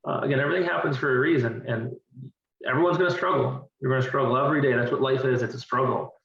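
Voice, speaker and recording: A man's voice: mid-range voice, steady tone, fast, gravelly, fairly bright tone. Earnest middle-aged man, distant and roomy. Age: 40s. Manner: earnest, repeats words while thinking. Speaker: interview guest. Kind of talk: candid conversational interview. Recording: distant, roomy and dull-sounding.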